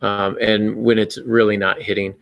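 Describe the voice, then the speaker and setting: A man's voice: fairly low pitch, flat delivery, moderate pace, gravelly, fairly bright tone. A man hosting a podcast with casual, joking chat, enthusiastic and somewhat rambling. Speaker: podcast host.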